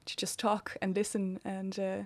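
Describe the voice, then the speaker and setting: A woman interviewing a guest in a relaxed chat, friendly and quick to laugh. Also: medium pitch, no room sound. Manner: chatty, laughs often, many back-channel yeahs.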